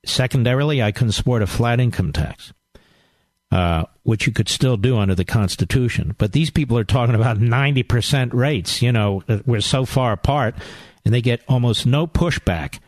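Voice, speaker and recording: A man's voice: medium pitch, normal speed, darkish tone, dry recording. A middle-aged man hosting a call-in radio talk show, arguing emphatically and lecturing his callers.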